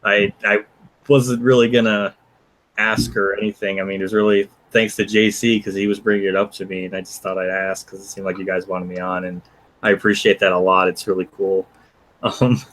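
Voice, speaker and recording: A man's voice: sits low, level tone, a little fast, a bit bright, rough recording. A man in his twenties, a guest on a podcast call, who talks hesitantly and fills his speech with "like" and "um". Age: 20s. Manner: hesitant, full of "like" and fillers. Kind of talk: casual podcast chat.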